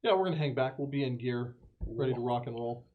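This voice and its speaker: A man's voice: medium pitch, fast. A man playing a tabletop roleplaying game, bantering casually in character. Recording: dull recording, noisy background.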